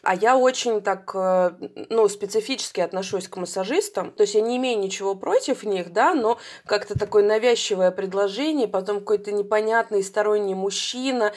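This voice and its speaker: A woman's voice: medium pitch, fairly quick, few pauses. Woman in her 30s chatting away as a guest, lots of ums and restarts. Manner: chatty, hesitant, frequent "uh" fillers and self-corrections. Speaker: guest, psychotherapist and coach. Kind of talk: casual storytelling in an interview.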